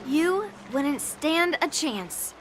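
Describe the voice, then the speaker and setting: Very high smug little sword master girl, anime game, slow and swoopy, blunt. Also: lively intonation, background noise, heavily acted. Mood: smug, pleased. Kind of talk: anime-style game voice acting.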